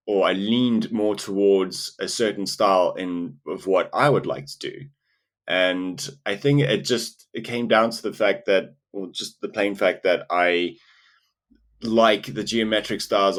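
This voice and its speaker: Very low-voiced hesitant man from South Africa. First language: not English. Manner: hesitant, many restarts and fillers. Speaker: illustrator and animator guest. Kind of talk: casual interview.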